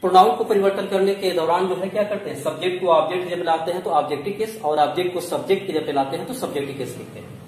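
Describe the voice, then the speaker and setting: High-voiced man teaching in echoey room. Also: quick pace. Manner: asks questions and answers them himself, repeats key points. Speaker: English grammar teacher. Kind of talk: classroom lesson, explaining step by step. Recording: very echoey room, distant mic, faint noise.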